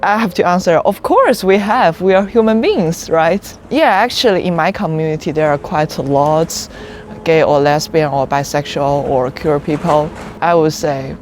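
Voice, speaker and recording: A woman's voice: sits low, expressive, normal speed, a little mellow, a little hiss or hum. A young woman in her twenties from China, a native Chinese speaker, answering questions in a casual, frank way with restarts, recorded outdoors on a rooftop terrace. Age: 20s. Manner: open, frank, with frequent restarts and laughs. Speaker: interviewee.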